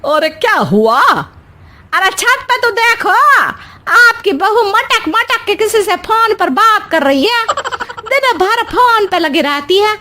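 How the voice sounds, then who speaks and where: Woman playing a nagging village gossip, high-pitched, slow drawn-out complaints, scornful, storybook drama. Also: lively intonation, bright, forceful tone, slight background noise, heavily acted. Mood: scandalized gossip. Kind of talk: Hindi moral story dramatization.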